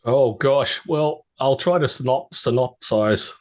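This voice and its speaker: A man's voice: mid-range voice, slow pace. Chatty middle-aged New man. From New Zealand. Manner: rambling storyteller with restarts and stammers. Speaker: podcast guest. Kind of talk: casual interview chat.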